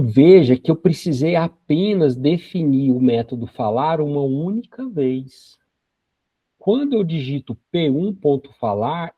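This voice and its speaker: A man's voice: on the high side, slightly rough, dry recording. A man in his forties teaching a programming class, explaining each step patiently with frequent tag questions. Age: 40s. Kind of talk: screen-recorded coding lesson. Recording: dry, dull lo-fi sound.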